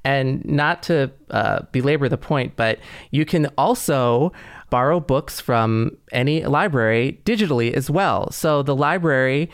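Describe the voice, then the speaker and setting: A man co-hosts a podcast. He has a mid-range voice and talks in a measured, conversational, explanatory way. The sound is dry, with no room echo. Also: medium pitch, smooth. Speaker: podcast co-host.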